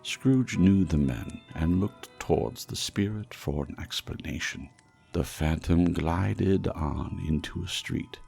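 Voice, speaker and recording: A man's voice: sits low, takes it slowly, creaky, a little mellow, soft background music. A man reading a classic ghost story as dramatic scripted audiobook narration. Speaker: audiobook reader.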